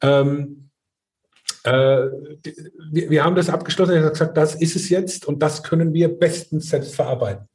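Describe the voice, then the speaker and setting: A man's voice: fairly high pitch, normal speed, darkish tone. A middle-aged man speaking casually and earnestly as a winemaker, often tagging his points with short questions to seek agreement. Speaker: winemaker, guest. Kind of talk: casual conversation about own work.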